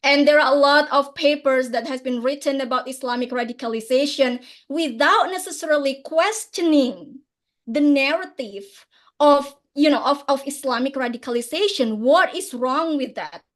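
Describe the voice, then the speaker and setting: A woman's voice: sits high, normal speed. A woman with an Indonesian accent giving a passionate academic conference talk in English, often ending points with "right?", heard over a remote call. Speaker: conference speaker.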